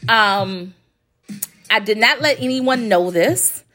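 A woman's voice: mid-range voice, animated, slow, pushed and bright. A woman hosting a casual podcast, sounding congested as she recovers from illness, chatty and emphatic, repeating phrases for stress. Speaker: podcast host, graphic design company owner. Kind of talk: casual solo podcast talk.

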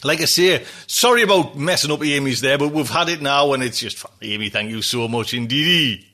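A man's voice: mid-range voice, animated, a little fast, pushed and bright. A man hosting a podcast, chatting casually with effusive, quirky turns of phrase. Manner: effusive, quirky thanks and wordplay. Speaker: podcast host. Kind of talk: casual podcast sign-off chat.

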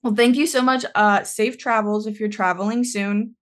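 A woman's voice: medium pitch, steady tone, normal speed. Woman podcast host, easygoing and agreeable, casual chat.

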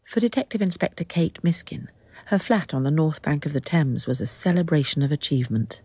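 An actress as a calm, detached narrator of a radio drama, with measured literary delivery. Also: female voice, sits low, breathy edge, no echo, full character voice. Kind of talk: scripted third-person narration, radio drama.

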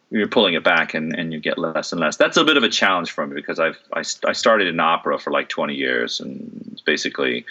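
A man's voice: low-pitched, sharp tone, highs cut off. A middle-aged man who works as a voice and stage actor, talking through an interview in a thoughtful way with many fillers and restarts. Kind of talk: relaxed interview conversation.

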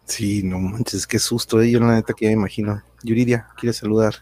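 A man's voice: fairly low pitch, fairly even intonation, a little fast, a little mellow. A man hosting a casual livestream chat in a rambling way, often chuckling. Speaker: host.

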